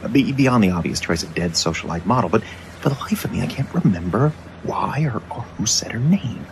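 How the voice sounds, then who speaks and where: A man's voice: lowish voice, animated, fairly quick, vocal fry, background noise. An actor playing a weary, wisecracking newspaper reporter in an audio drama, muttering and stammering through his lines. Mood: weary, wry. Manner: muttering, stammering, hesitant asides. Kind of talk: audio drama acting, noir narration.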